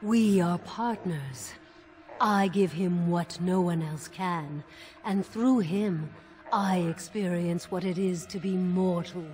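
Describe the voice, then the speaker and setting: A woman's voice: lowish voice, takes it slowly, a little mellow. A woman playing a possessive, seductive desire demon in a fantasy video game, silky and coldly defiant. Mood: cool, seductive.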